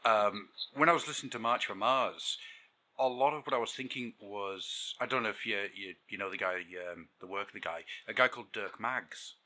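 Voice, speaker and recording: A man's voice: lowish voice, normal speed, sharp tone, rough recording. A man hosting a podcast, chatting casually and enthusiastically, rambling a little with fillers. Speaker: podcast host.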